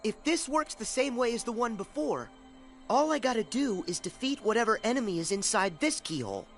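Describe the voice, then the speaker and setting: A man's voice: very high-pitched, sing-song, fairly quick, heavily acted. A man playing a plucky, cocky teenage boy hero in a video game, with a brash, casual, eager manner. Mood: determined. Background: game music.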